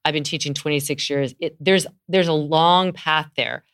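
A middle-aged woman with a notably low, smooth voice hosts a conversation with a peer. She talks in an eager, chatty way, agreeing often and laughing easily. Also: moderate pace, fairly bright tone. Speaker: podcast host, yoga teacher. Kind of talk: conversational interview.

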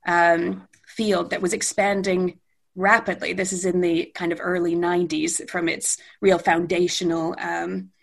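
A woman's voice: fairly low pitch, level tone, measured pace, vocal fry. A middle-aged Canadian woman, speaking off the cuff in a thoughtful, meandering way with many self-corrections. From Western Canada. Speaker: guest. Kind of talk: unscripted interview talk.

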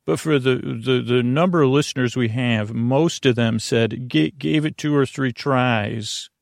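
A man hosting a bedtime podcast in a creaky, soothing voice, meandering hesitantly through tangents and interrupting himself. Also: medium pitch, measured pace, no room sound, studio quality. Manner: soothing, lulling. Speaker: podcast host. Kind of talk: rambling bedtime monologue meant to lull to sleep.

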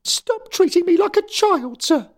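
Shrill, furious schoolboy ghost. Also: male voice, expressive, unhurried, exaggerated voice. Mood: indignant. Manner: shrill, shouting, ends every sentence with "sir". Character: furious 13-year-old boy ghost, sulky.

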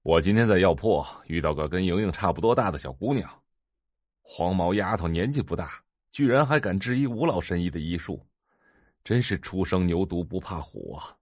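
Brief: indignant paternal middle-aged man, deep-ish voice, audio drama. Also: expressive, normal speed, a little mellow, dry recording, clean studio sound. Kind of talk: Chinese audio drama acting.

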